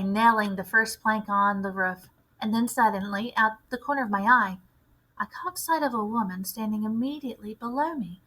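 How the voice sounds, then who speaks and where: A woman reading a storybook aloud like a teacher, with expressive, deliberate phrasing. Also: on the high side, poor audio.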